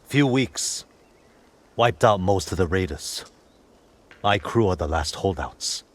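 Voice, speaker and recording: An actor playing a wary, hard-bitten island raider leader in a video game, with a rough, husky voice and a blunt, challenging manner. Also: male voice, deep voice, expressive, slow, full character voice. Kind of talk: dramatic video game cutscene acting.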